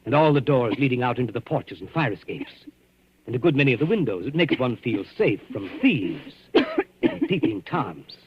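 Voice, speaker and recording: An actor playing a deranged hotel keeper, eerily polite and menacing, in a 1940s radio suspense drama. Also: male voice, medium pitch, huge pitch swings, moderate pace, a little hiss or hum. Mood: falsely solicitous. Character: sinister, deranged hotel keeper, falsely solicitous killer. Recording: muffled old-time radio recording.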